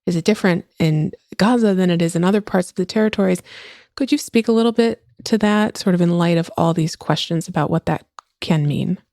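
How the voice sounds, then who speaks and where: A woman hosting a podcast, reading a formal introduction and asking interview questions in a measured way. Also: lowish voice, darkish tone, no room sound, clean studio sound. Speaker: podcast host.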